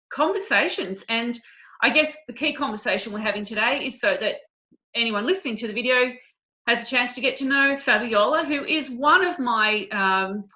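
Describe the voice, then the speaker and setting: Woman interview host, bright slightly high voice, upbeat and encouraging, casual delivery, muffled audio.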